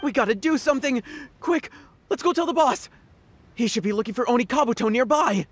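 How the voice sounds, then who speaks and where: An actor playing an excitable, anxious young gang underling in a video game, panicky and prone to stammering. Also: mid-range voice, brisk, slight background noise, close to an everyday voice. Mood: panicked. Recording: music behind the voice.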